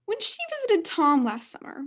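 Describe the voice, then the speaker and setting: A woman's voice: sits high, very animated, moderate pace, fairly bright tone. A young woman playing a self-satisfied, doting mother, coy and theatrical, in a student radio play. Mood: coy, probing.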